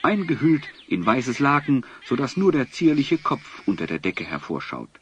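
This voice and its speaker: A man's voice: medium pitch, sing-song, normal speed, lo-fi sound, a little hiss or hum. A man narrating a scripted fantasy adventure as a dramatic storyteller for an audio play. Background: music.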